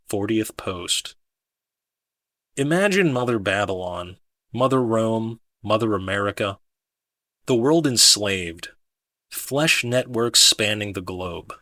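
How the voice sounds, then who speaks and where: Forceful, bright-voiced male audiobook narrator. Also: lowish voice, dry recording. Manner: solemn, deliberate, declamatory. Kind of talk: dramatic scripted narration.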